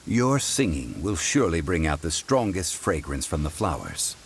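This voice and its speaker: An actor playing an ancient, composed scholar who speaks in a formal, measured, lecturing way. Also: male voice, sits low, big pitch swings, very noisy. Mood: instructive. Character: ancient, composed scholarly man, dignified and wise. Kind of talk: video game voice acting.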